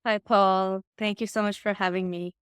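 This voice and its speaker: A woman's voice: mid-range voice, flat delivery, normal speed, a bit bright, smooth, dry recording, clean studio sound. A woman from Iran, speaking English with slightly phrasing, talking earnestly and enthusiastically as a podcast guest and coach. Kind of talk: casual podcast interview.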